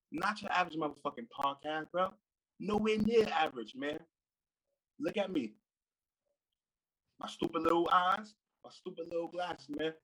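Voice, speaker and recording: A man's voice: sits high, fairly bright tone, slightly rough, poor audio. A man giving a loose, swaggering mock wrestling promo full of slang, laughing as he taunts. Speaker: podcast guest competitor.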